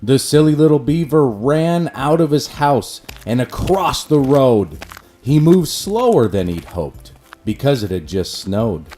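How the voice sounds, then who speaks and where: A man narrating a rhyming children's storybook in an expressive, measured way. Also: mid-range voice, soft background music.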